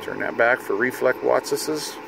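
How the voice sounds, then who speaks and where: A middle-aged man with an average-pitched voice gives an informal hands-on demo, sometimes muttering to himself as he works. He is recorded inside a vehicle, with clear air and car noise behind him. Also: measured pace. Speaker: hobbyist video host demonstrating radio gear. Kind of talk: casual hands-on demonstration. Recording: noticeable car and fan noise.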